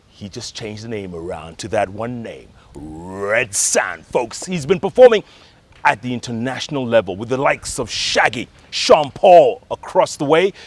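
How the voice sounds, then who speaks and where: Kenyan male TV host, animated and emphatic, slightly high voice, dry sound. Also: no room sound. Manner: showy, emphatic presenter. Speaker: TV talk show host. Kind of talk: broadcast interview hosting.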